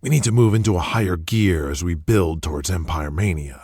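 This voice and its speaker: An actor playing a blunt, impatient wrestling promoter with a husky, raspy voice in an audio drama. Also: male voice, low-pitched, a little fast, darkish tone, no echo, clean studio sound. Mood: impatient, bossy. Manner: blunt, curt, bullying. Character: gruff, impatient, domineering male wrestling promoter boss.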